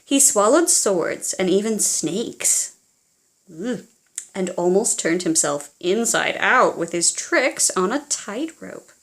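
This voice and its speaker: A woman reading a children's storybook aloud in a theatrical, expressive way, as if speaking directly to young listeners. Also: medium pitch, slow. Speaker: children's audiobook reader.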